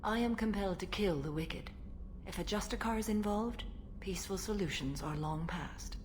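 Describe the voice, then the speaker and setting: A woman playing an ancient, stern and serene alien warrior in a video game, speaking formally and with measured solemnity. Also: medium pitch, a little hiss or hum, natural voice. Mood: stern, composed. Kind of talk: video game dialogue acting.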